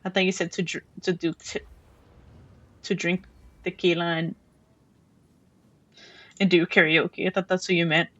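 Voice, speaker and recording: A woman's voice: lowish voice, level tone, a little slow, long pauses, fairly bright tone, no room sound. A woman from Mexico with a Mexican accent, streaming a game and chatting casually with her chat, often restarting her sentences. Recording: dull lo-fi stream audio.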